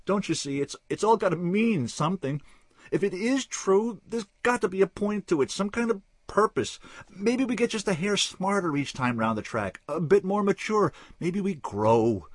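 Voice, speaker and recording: A man's voice: high voice, lively intonation, normal speed, no room sound, pitched above the performer's own voice. A man voicing a desperate, distraught man who pleads in an agitated, stammering rush. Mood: desperate pleading.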